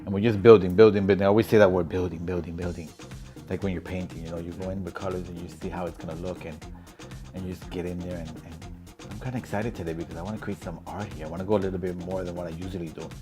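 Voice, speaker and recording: A man chatting casually while doing a woman's makeup, mixing English and Spanish in a relaxed, talkative way. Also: low voice, fast talker, music in the background. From born in New York, raised in Puerto Rico. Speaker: makeup artist.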